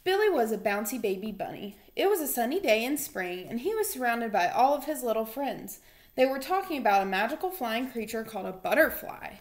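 Higher-pitched young woman reading storytime. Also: very animated, fairly quick. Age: young adult. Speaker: storytime reader for young children. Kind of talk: read-aloud children's storytime. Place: small home room, indoors.

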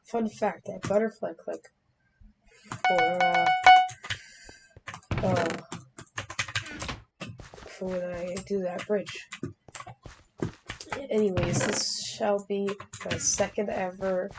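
A woman with a raspy, hoarse voice from being sick, giving casual gameplay commentary, easily frustrated and prone to sudden startled outbursts. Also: on the low side, steady tone, takes it slowly, pauses often. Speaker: gamer. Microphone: desk microphone. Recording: loud background noise with keyboard and mouse clicks.

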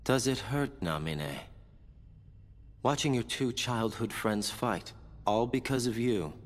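A man's voice: medium pitch, animated, takes it slowly. A man playing a sinister villain, menacing and mock-sympathetic, in a video game cutscene. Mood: mocking sympathy. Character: smooth, mocking, sinister male villain. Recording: long hall reverb.

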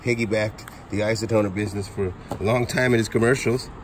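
A man in his forties, chatty and excited, talking to viewers while unboxing outdoors beside storage units. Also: lowish voice, fairly quick. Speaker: storage auction reseller. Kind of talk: casual unboxing vlog. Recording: outdoor noise behind voice.

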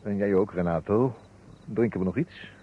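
An actor in an old Dutch radio play playing a shrewd detective who lays out his case in a measured, commanding way. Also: on the low side, a little hiss or hum, close to an everyday voice. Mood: relaxed. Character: shrewd male amateur detective laying out a murder case. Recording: dull old radio recording.

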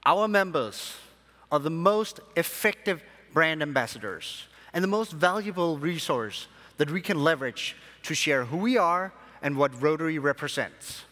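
A young man, born in Sri Lanka and raised in Denmark, giving a keynote speech to a convention audience from a large hall stage with measured, emphatic phrasing. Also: on the high side, expressive, bright, forceful tone. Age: young adult. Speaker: conference speaker. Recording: long hall reverb.

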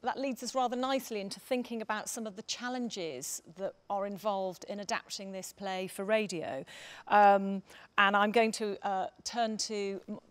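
A woman's voice: medium pitch, fairly even intonation, moderate pace, smooth. A middle-aged woman hosting a panel discussion on a theatre stage, putting questions as chair.

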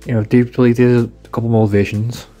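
A man's voice: medium pitch, level tone, fast talker, mellow, soft background music. Casual, rambling man reviewing a product. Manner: informal, rambling, repetitive.

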